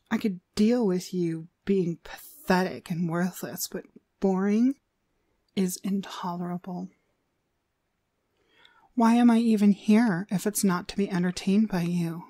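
A woman's voice: medium pitch, dark tone, no echo, clean studio sound. A woman playing a cruel, domineering demonic dog trainer in an ASMR roleplay, contemptuous and commanding in her delivery. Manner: contemptuous, commanding, slow and deliberate. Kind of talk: ASMR roleplay, frequent breathy whispering.

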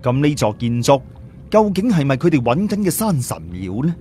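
A man's voice: medium pitch, big pitch swings, normal speed, dark tone, slight background noise. A man narrating a story in a dramatic audiobook style.

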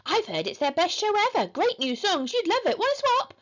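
A woman voicing a loud, indignant and scheming little boy in a children's storybook. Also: sits high, sing-song, brisk, husky, lo-fi sound, heavily acted. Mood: scheming, bright.

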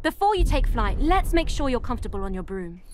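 A woman's voice: a little high, big pitch swings, fairly quick, a little hiss or hum. A woman playing an encouraging young player who coaches beginners through a scripted video game tutorial, speaking in an instructive way. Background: music.

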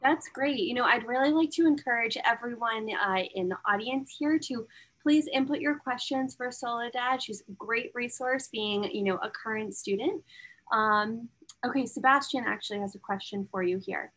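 Young woman moderator, webcam at home, upbeat, slightly high-pitched, filler ums. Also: normal speed, a bit bright, rough recording. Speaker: event host. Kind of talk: moderating a virtual open house. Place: home room with framed pictures. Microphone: laptop webcam mic.

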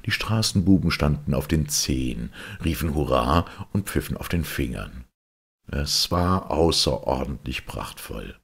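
A man reading a fairy tale aloud as an expressive audiobook storyteller. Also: rumbling low, unhurried, gravelly, husky.

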